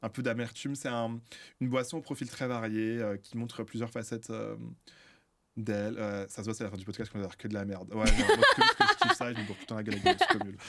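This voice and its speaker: A man's voice: very animated, quick pace, bright, forceful tone. A young man from France, a podcast guest, chatting casually with lots of fillers and restarts. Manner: chatty, many fillers and restarts, bursts of high laughter. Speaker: podcast guest, book content creator.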